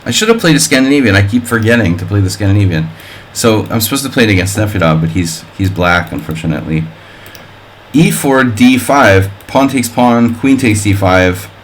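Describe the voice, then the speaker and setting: Wry low-voiced male chess streamer. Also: lively intonation, a little fast, a little hiss or hum. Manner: thinks aloud, calling out moves between wordplay and jokes; wry and offhand, chatting with viewers. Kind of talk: live-stream commentary while playing a simul.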